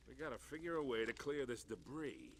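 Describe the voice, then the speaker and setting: An actor playing a seasoned, wry older male adventurer sidekick in a cinematic video game, heard quietly under loud music. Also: mid-range voice, very animated, a little fast, noisy background, natural voice. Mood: practical.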